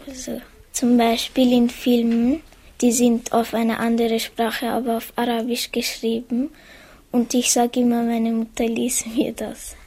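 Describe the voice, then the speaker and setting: A female speaker answering in an interview, soft and half-whispered. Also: fairly high pitch, completely flat delivery, a little slow.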